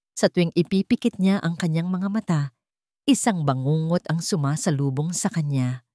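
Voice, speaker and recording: A woman with a Filipino accent reads a scripted dramatic story in a measured, deliberate way. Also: fairly low pitch, mellow, dry recording, clean studio sound. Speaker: narrator.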